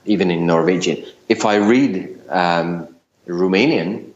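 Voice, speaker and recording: A man's voice: low voice, slow pace. A middle-aged man with a Hungarian accent, talking as an interview guest in a hesitant way, with many fillers and restarts. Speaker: guest, LinkedIn consultant. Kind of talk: casual interview talk. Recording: roomy, dull lo-fi recording.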